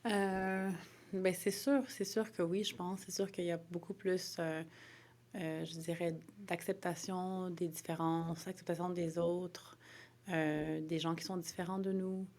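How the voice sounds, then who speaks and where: A woman's voice: on the low side, little pitch movement, unhurried, darkish tone. A woman from Montreal with a Quebec French accent, answering interview questions conversationally in a hesitant way, often repeating and rephrasing her words. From Montreal, Quebec, Canada. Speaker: interview guest, lawyer.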